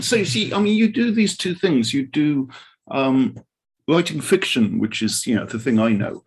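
Husky man interviewing in halting starts. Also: mid-range voice. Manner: halting, repeats words as he forms questions. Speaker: podcast co-host, interviewer. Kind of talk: casual conversational interviewing.

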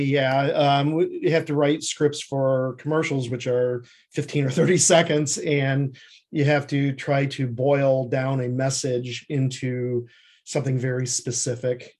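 A man answering as an interview guest in a conversational, reflective way, haltingly thinking aloud. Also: mid-range voice, steady tone, a little mellow. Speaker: interview guest, screenwriter and TV creative services producer.

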